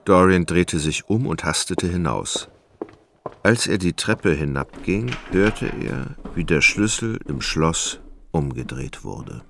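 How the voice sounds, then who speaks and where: A man narrating a literary radio drama in a measured, grave manner. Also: low voice, lively intonation, vocal fry, a bit bright, a little hiss or hum.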